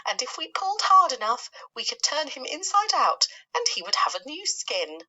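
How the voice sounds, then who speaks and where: Woman voicing a high, gleefully morbid earthworm. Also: big pitch swings, normal speed, exaggerated voice, poor audio. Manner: bright, relishing grim suggestions. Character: male earthworm, gloomy by nature, gleefully morbid.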